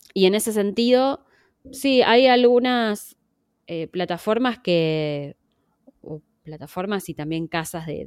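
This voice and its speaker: An Argentine woman answers interview questions in a measured, patient way, pausing on fillers and closing points with "¿no?". Her voice sits a little low and sounds smooth and clean. The sound is dry and close. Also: a little mellow, no echo. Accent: Argentine Spanish. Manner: measured, many fillers and "¿no?" tags. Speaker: podcast survey organizer. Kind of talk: interview guest explaining.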